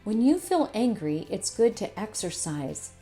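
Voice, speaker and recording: A woman's voice: mid-range voice, big pitch swings, unhurried, mellow, faint music behind. A woman voicing an encouraging kangaroo, upbeat and instructive, in a children's storybook. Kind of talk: children's storybook read-aloud.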